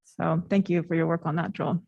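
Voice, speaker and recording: A woman's voice: on the low side, little pitch movement, a little fast, gravelly, husky, highs cut off. A woman on a city council giving appreciative remarks and introducing the next speaker.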